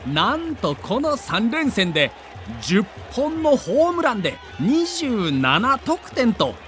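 A man narrates a story in a high, animated voice, swinging widely in pitch. He tells it like a vivid storyteller. The narration builds excitement as it goes. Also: slow pace. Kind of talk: dramatic scripted narration.

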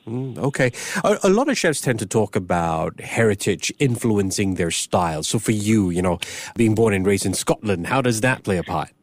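A man's voice: lowish voice, very animated, moderate pace, dry recording, studio quality. A man hosting an interview, asking questions in a conversational way. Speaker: interviewer, host.

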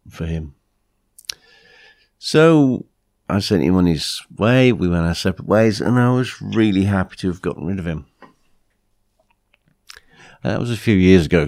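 A British man hosting a podcast with a blunt, plain-spoken manner, his voice croaky from flu. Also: sits low, a little mellow, no room sound, studio quality. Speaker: podcast host. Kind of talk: podcast monologue, anecdotes and advice.

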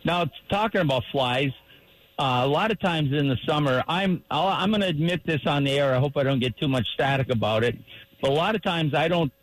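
A man hosting a radio fishing show, talking casually and asking guests long questions while a bit hoarse from losing his voice. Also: on the high side, a little fast, dry recording, low-quality recording. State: losing his voice, clearing throat.

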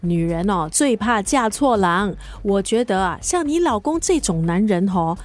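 An actress playing a blunt, bossy, complaining drinking buddy in a radio drama, with a Singaporean accent. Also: female voice, mid-range voice, expressive, moderate pace, lightly acted. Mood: brash, jovial. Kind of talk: Mandarin radio drama acting.

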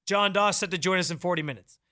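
Loud, bright-voiced sports radio man. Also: high-pitched, fast. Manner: loud, projecting delivery; fired-up, opinionated. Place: radio studio. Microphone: studio microphone, close.

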